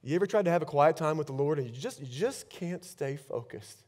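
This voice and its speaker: A man in his thirties preaching a sermon on a large church stage with a headset mic, swinging between loud bursts and hushed lines. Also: on the high side, expressive, fairly quick. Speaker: pastor.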